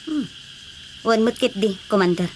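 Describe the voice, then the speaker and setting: High, animated rebel henchman. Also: unhurried, a little hiss or hum. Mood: scheming. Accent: Ilocano. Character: eager rebel henchman, scheming and loyal to his commander. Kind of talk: radio drama acting.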